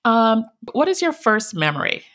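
A woman hosting an interview, asking questions gently and encouraging her guest with frequent affirmations. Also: fairly high pitch, sing-song, normal speed. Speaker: host. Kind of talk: interviewer in casual conversation.

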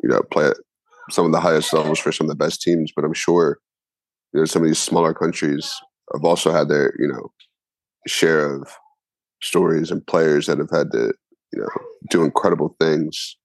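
A man's voice: rumbling low, dry recording, poor audio. A man with an American accent talking informally and colloquially as a co-host in a casual sports discussion. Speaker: podcast co-host and soccer coach.

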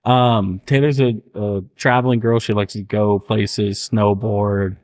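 A man's voice: on the low side, fairly even intonation, measured pace, darkish tone. A young man hosting a podcast, chatting casually with a friend, joking around and laughing often. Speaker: podcast host.

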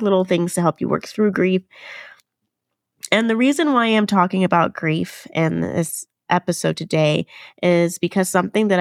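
Female podcast host, lowish gravelly voice, nasal from a cold, earnest and gentle, dry close mic. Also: no echo. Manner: gentle, earnest, many fillers. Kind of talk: casual solo podcast talk.

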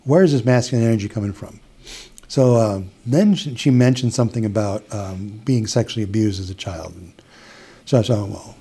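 Mellow-voiced Midwestern man telling a story. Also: fairly low pitch, brisk, slightly rough. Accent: Upper Midwest American. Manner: chatty, with frequent restarts and quoted dialogue. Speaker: hypnotist guest. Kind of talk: casual storytelling in an interview.

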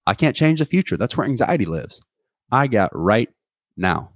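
A man's voice: mid-range voice, big pitch swings, normal speed, no room sound, studio quality. A man in his twenties talking through a reflective solo podcast, earnest and rambling, with many restarts and fillers. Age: 20s. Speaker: podcast host.

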